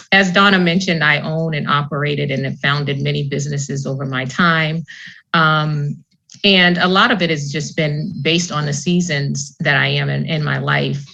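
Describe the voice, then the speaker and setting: Woman, from Boston, entrepreneur panelist, deep fry-edged voice, earnest, lo-fi call audio. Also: bright, forceful tone. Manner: earnest, reflective, some restarts. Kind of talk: panel discussion, personal story.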